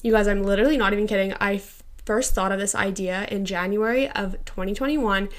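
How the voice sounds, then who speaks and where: A young woman in her twenties chats on her own podcast with an excitable, gushing energy, leaning hard on "like" and "literally". She records alone in a quiet room of her house on a home microphone. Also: medium pitch, fairly quick. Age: 20s. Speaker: podcast host. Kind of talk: casual solo podcast chat.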